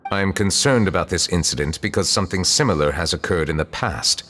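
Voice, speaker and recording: A man voicing a solemn, formal and measured chief justice in a video game. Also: sits low, lively intonation, fairly bright tone, a little hiss or hum.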